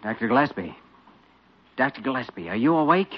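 An actor playing a reasonable, teasing young doctor in a radio drama, gently persistent. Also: male voice, mid-range voice, very animated, brisk, natural voice. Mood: urgent. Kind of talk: classic American radio drama acting. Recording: dull, noisy old radio recording.

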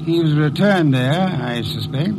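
An actor playing a haughty, erudite middle-aged detective boss in an old radio drama, pompous and curt, with sardonic, precise speech. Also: male voice, on the high side, lively intonation, a little slow, noisy background. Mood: dry. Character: gruff, haughty, erudite male detective boss, orchid lover.

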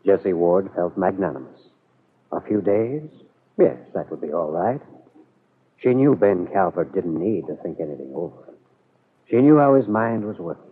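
Male serial narrator, lowish husky voice, dramatic storytelling, muffled narrow-band vintage broadcast. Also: very animated, moderate pace. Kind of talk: dramatic scripted 1940s radio narration. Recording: muffled old radio broadcast.

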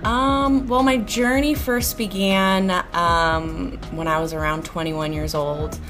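Woman guest, yoga teacher, low loud projecting voice, hesitant with ums, clear music bed behind. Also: steady tone, slow pace. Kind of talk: interview.

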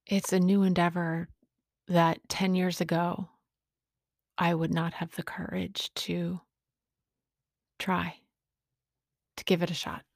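A woman in her late forties speaks in a low, fairly flat voice with a gravelly fry at the edges. She talks reflectively about personal things, pausing often between short phrases. The sound is close and dry. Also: no room sound. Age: late 40s. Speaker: podcast host speaking on sobriety.

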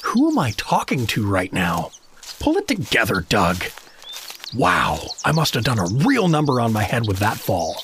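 An actor playing a friendly, hapless middle-aged American dad from South Dakota, chummy and rambling, in a comedic fantasy audio drama. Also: male voice, mid-range voice, huge pitch swings, moderate pace, gravelly, fairly bright tone, slight background noise, full character voice. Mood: puzzled.

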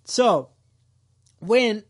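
A man with a notably high-pitched voice co-hosts a casual podcast. He tells the story in a wisecracking, lively way and slips into quick character voices along the way. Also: breathy edge. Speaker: podcast co-host.

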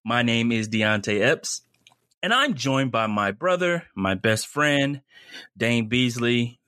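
Bright-voiced laid-back podcast host man. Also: medium pitch, very animated, slow pace, smooth, no echo, studio quality. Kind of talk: casual sports chat.

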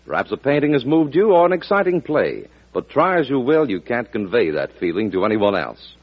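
A man's voice: mid-range voice, very animated, fairly quick, slightly rough. 1940s radio announcer man, earnest and polished, lots of up and down, muffled old broadcast.

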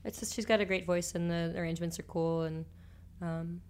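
A woman musician with a slightly low voice joins a casual chat about new songs. She talks in an easygoing way, hesitates with ums and laughs readily. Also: steady tone, brisk, a little mellow, a little hiss or hum. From New York.

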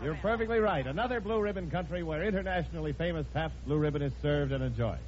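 A man's voice: on the high side, fast talker, heavy background noise. An American man announcing an old radio show and reading its sponsor messages in 1950s style. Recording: muffled old radio recording, music behind.

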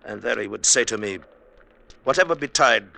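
Proud older village brother in an old radio play, super forceful voice, swings up and down, very stagey. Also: male voice, on the low side, lively intonation, moderate pace, creaky, heavily acted, poor audio. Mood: solemn. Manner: stately, formal, theatrical delivery. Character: proud, quarrelsome older brother, Indian villager, solemn and scheming. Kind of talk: 1950s radio drama acting. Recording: old radio recording, faint background noise.

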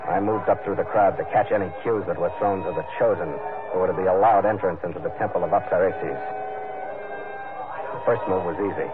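An actor playing a hard-boiled, stubborn sea captain in an old radio adventure, terse, brooding and matter-of-fact. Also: male voice, low voice, fairly even intonation, fairly quick, background noise, close to an everyday voice. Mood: tense, watchful. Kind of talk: old-time radio adventure narration and acting. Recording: narrow-band old radio recording under loud music.

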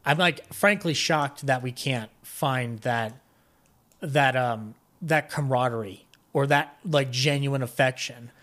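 A man's voice: medium pitch, slow, a bit bright. A man chatting casually as a podcast guest, rambling enthusiastically and voicing his own thoughts aloud. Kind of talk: casual chat.

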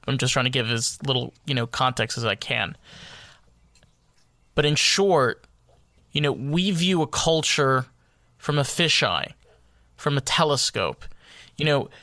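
Man with a bright, forward voice, reflective casual talk, halting phrasing, dry sound. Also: mid-range voice, no room sound. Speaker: conversation participant.

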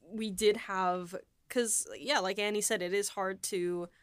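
Woman, medium pitch, casual, reflective, rambling, creative producer, dry acoustics. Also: fairly even intonation, unhurried, clear, resonant tone, dry recording. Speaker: writer and executive producer. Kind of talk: casual behind-the-scenes discussion.

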